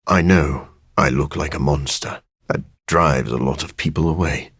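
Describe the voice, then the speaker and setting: A man playing a brooding, self-deprecating vampire scientist in a video game, with a husky, breathy voice, formal diction and dry wit. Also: rumbling low, normal speed, fairly bright tone, no room sound, heavily acted. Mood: earnest, somber. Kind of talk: video game character voice acting.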